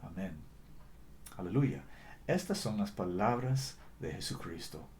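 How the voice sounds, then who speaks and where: Lowish-voiced non-native Spanish preacher. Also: male voice, lively intonation, darkish tone, a little hiss or hum. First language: not Spanish. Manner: earnest, deliberate, with frequent self-corrections. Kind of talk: sermon.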